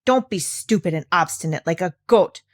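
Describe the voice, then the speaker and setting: A woman voicing a brisk, impatient aunt who bosses and coaxes in a storybook. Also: on the low side, expressive, no room sound, studio quality. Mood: hurried, coaxing. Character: brisk, bossy, impatient adult aunt, coaxing a child.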